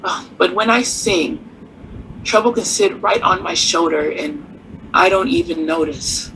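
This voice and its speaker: An actress playing a poised, worldly nightclub singer in a radio drama, with theatrical, wryly warm delivery. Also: female voice, fairly low pitch, gravelly, a little hiss or hum, rough recording. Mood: confident. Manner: theatrical, unhurried, uses endearments like darling and honey.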